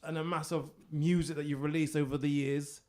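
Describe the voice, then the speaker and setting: A young man presenting a radio interview in a fairly high voice for a man. He talks in a chatty, rambling way, stringing questions together with lots of "um" and "like". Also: level tone, fairly quick, a little mellow. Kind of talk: casual interview.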